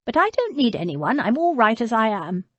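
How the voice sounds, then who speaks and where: Frail old aristocratic lady, tart and haughty, higher, lively, breathy delivery, voiced by a woman narrator. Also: normal speed, no room sound. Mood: proud, forlorn. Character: frail proud elderly aristocratic lady, sharp-tongued.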